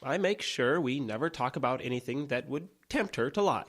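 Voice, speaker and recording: An actor playing a guarded young man in an audio drama, calm and matter-of-fact. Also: medium pitch, fairly quick.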